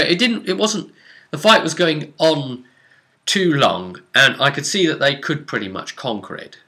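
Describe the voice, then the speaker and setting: Bright-voiced man retelling a tabletop game. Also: medium pitch. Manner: dramatic, rambling retelling with vivid action descriptions. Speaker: game master recapping a tabletop role-playing session. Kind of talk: casual animated storytelling.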